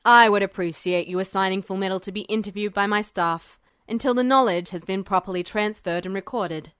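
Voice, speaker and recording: Commanding male official for fan fiction audiobook, woman performing: low register, clipped, imperious, coolly authoritative, close dry sound. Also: steady tone, a little fast, fairly bright tone, clean tone, no echo, close to an everyday voice. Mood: coolly thoughtful.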